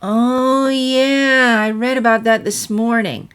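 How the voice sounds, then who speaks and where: A woman's voice: a little high, fairly even intonation, slow pace, fairly bright tone, clean tone, voice pushed up. A woman voicing a brash, playful younger pegasus pony mare with a breezy, teasing manner in a story reading. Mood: casual, curious. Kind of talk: fan fiction character reading.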